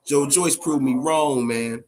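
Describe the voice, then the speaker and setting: A man's voice: medium pitch, takes it slowly, darkish tone. A man with an American accent hosting a casual boxing chat show, giving opinions in profane, slangy language and repeating phrases for emphasis.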